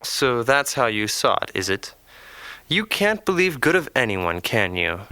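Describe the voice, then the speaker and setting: Devout young captive, audio drama, defiant, bright tense voice, lively pitch, dry studio sound. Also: male voice, a little slow, no room sound, exaggerated voice.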